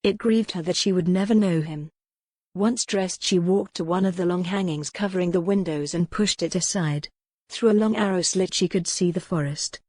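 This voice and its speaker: Low-pitched woman narrating historical romance. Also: darkish tone, no room sound. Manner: measured, dramatic storytelling. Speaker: audiobook narrator.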